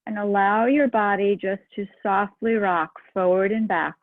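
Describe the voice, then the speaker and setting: Woman meditation guide, unhurried and gentle, dry thin phone-like recording. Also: medium pitch, steady tone, dry recording. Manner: slow, soft and soothing.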